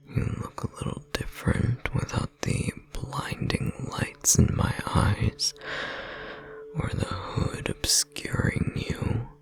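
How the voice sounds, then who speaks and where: A man playing an ancient imprisoned godling, resigned and quietly menacing, in a breathy, creaky whisper for a horror audio roleplay. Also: medium pitch. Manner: slow, measured, quietly menacing. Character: ancient imprisoned male godling, resigned, eerily calm, wounded.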